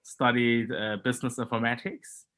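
A man's voice: mid-range voice, little pitch movement, moderate pace, fairly bright tone. Guy in his 30s, podcast guest, lots of uhs and likes. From Germany. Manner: hesitant, many "like" and "uh" fillers. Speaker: entrepreneur guest. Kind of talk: podcast interview.

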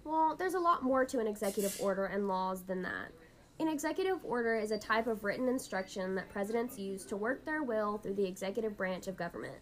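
A woman's voice: medium pitch, lively intonation, a little fast, poor audio. A woman hosting an educational podcast in a scripted, instructive explainer style. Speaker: podcast host.

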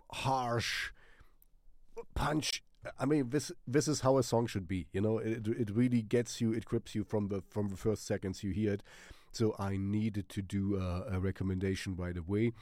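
A man's voice: fairly low pitch, fairly even intonation, measured pace, darkish tone, no echo. A man with a German accent, sick with a cold, rambling through a casual music recommendation full of fillers and swearing. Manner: swears casually and laughs often. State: has a cold. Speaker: music channel host.

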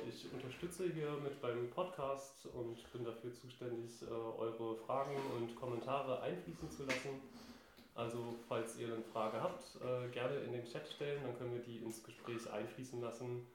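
A man's voice: medium pitch, steady tone, normal speed. Man in a very echoey room. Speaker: podcast co-host for audience questions. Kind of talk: casual introduction. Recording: very echoey room, faint noise.

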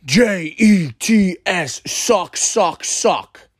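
A hoarse man putting on the voice of an awkward, oblivious young rival sports fan. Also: high voice, lively intonation, very slow, dry recording, clean studio sound, voice pushed up, full character voice. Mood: taunting.

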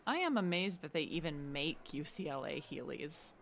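A woman playing a sardonic teenage high school soccer player in an audio drama, dry and blunt. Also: animated, normal speed, creaky. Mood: wry teasing. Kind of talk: naturalistic audio drama acting.

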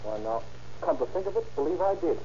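Actor as a fast-talking, stammering young ranch hand. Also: male voice, on the high side, animated, dark tone, natural voice. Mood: nervous, eager. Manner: stammering, rustic, halting phrasing. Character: folksy, eager young male ranch hired man. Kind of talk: 1930s-40s radio drama acting. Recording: muffled, noisy old-time radio recording.

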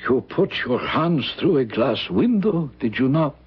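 Radio drama priest: older, solemn, gentle, husky and very unhurried, muffled vintage recording. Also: male voice, medium pitch, sing-song, full character voice. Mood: grave, gentle. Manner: measured, solemn, fatherly. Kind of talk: old-time radio drama acting.

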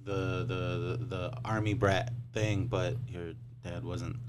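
A man co-hosting a podcast, speaking casually and haltingly and cursing now and then. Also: low voice, flat delivery, noisy background. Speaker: podcast co-host.

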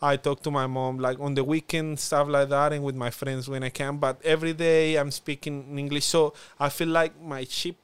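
A young man with a strong Spanish accent talks earnestly in a relaxed interview, stumbling over fillers and restarts as he thinks aloud. His voice sits a touch high and comes across smooth and resonant. The sound is dry and close. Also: normal speed, hardly any pauses, no echo. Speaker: guest. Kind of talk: reflective conversational interview.

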